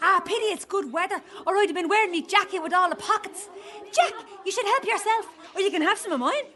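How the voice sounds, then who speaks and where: Woman playing a very high cheeky Dublin child. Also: fast talker, pushed and bright. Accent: Dublin Irish. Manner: cheeky, innocent. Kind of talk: radio drama acting.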